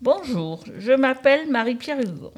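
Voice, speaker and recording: A woman in her fifties reading prepared statements slowly and carefully on a community radio show, restarting words at times. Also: sits high, big pitch swings, husky. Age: 50s. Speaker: day centre participant.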